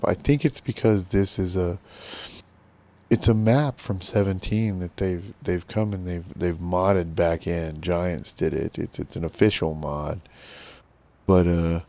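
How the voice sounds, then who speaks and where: A middle-aged man with a rough smoker's voice, giving easygoing, rambling commentary to viewers while playing a farming game. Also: sits low, a little slow, dark tone. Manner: rambling, easygoing, self-deprecating. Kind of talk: casual gameplay commentary to viewers.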